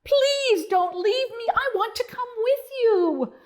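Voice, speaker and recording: A brave little storybook frog, played by a woman reading aloud. He is very high-pitched and swoops with excitement. He cheers in drawn-out exclamations, bold and eager. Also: exaggerated voice. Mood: earnest.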